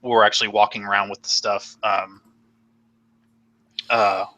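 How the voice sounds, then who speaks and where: A man's voice: lowish voice, little pitch movement, measured pace, bright, forceful tone. A young man hosting a casual live stream chat, rambling and joking with many fillers while losing his voice. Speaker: host.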